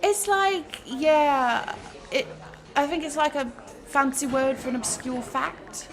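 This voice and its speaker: Creaky-voiced, opinionated young woman, played by an actress. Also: sits high, takes it slowly. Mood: confidently explaining. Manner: confidently misinformed, breezy, casual. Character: gullible, opinionated young woman spouting muddled facts. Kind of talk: conversational audio drama, satirical comedy.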